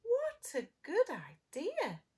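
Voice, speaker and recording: A woman's voice: extremely high pitch, huge pitch swings, takes it slowly, warm tone, pitched above the performer's own voice, full character voice. A woman voicing a sweet, innocent small girl in a children's storybook, full of wide-eyed wonder. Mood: eager. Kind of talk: children's storybook read-aloud.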